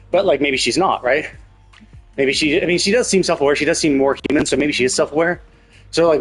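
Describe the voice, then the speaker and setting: A man with a husky voice giving casual, rambling livestream commentary, thinking aloud and often rephrasing his own questions. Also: mid-range voice, poor audio. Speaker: livestream host.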